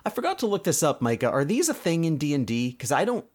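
A man's voice: fairly high pitch, huge pitch swings, fast talker, darkish tone. A man hosting a podcast, casually recapping a cartoon episode in a conversational way with explanatory asides. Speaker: podcast host.

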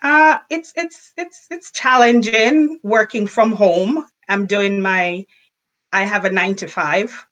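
A woman's voice: mid-range voice, slow pace, fairly bright tone. A woman from rural Jamaica with a Jamaican accent, chatting casually and cheerfully as an interview guest. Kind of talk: casual chat.

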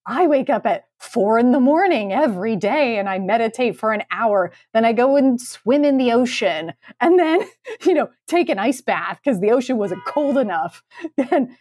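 A woman's voice: fairly high pitch, a little mellow, no echo, studio quality. A woman co-hosting a podcast in casual comedic chat, talking in a sarcastic, emphatic way. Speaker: podcast co-host.

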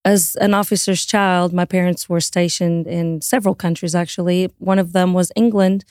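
A woman in her thirties from Portugal with a Portuguese accent, a guest telling her family story in an interview with a measured delivery. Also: fairly low pitch, a little mellow, no room sound, studio quality. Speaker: Salvation Army officer, guest.

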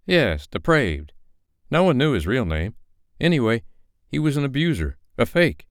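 A man's voice: medium pitch, big pitch swings, moderate pace, no room sound, studio quality, pitched above the performer's own voice. A man voicing a fair-minded, protective male character in an audiobook, earnest and reproving. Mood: troubled, indignant.

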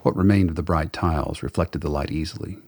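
Male narrator, very deep voice, husky, measured, wry, scripted dramatic storytelling. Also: darkish tone, no room sound.

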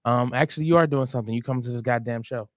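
A man's voice: medium pitch, rapid-fire, heavy background noise. An American man chatting casually as a show regular, plugging events in an informal, rambling way. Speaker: show regular and stand-up comedian. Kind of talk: casual chat, plugging shows.

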